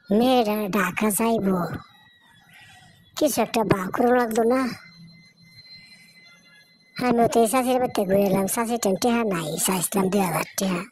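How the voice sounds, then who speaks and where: A woman's voice: on the high side, normal speed, long pauses, noisy background, close to an everyday voice. A woman with a rural Bangladeshi accent playing an anxious village wife in a cartoon, questioning and nudging. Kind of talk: cartoon dialogue.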